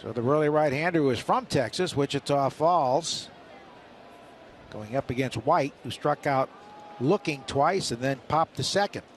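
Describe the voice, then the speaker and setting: A man's voice: medium pitch, normal speed. A man giving live play-by-play baseball commentary as a radio announcer. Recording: faint stadium noise on radio broadcast. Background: outdoor ballpark ambience.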